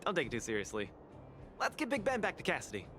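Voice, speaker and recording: A man's voice: fairly high pitch, wildly swooping pitch, fast talker, fairly bright tone, slight background noise. An actor playing a cheerful, boastful young male boxing champion in a video game, showy and upbeat. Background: game music.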